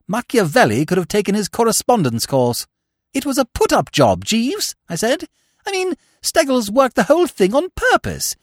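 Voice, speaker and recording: A man voicing a breezy, flustered young upper-class gentleman who talks in chatty slang. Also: extremely high pitch, sing-song, normal speed, no echo, clean studio sound, pitched above the performer's own voice, full character voice. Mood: exasperated.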